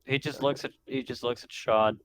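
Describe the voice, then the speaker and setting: A man's voice: medium pitch, fairly even intonation, normal speed, over a noisy crowd. A man chatting casually as a player in a tabletop roleplaying game. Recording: noisy mic, room ambience.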